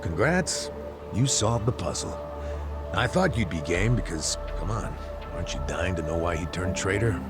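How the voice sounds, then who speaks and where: A wry, cynical ex-detective. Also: male voice, deep voice, expressive, normal speed. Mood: sly. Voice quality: wry, teasing. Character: sly cynical middle-aged male ex-detective. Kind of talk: video game cutscene dub. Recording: game cutscene with loud music.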